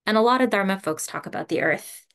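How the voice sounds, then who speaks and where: A woman meditation teacher giving a relaxed, casual dharma talk over a video call, laughing often and using lots of fillers. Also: mid-range voice, quick pace, clear, resonant tone. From Canada.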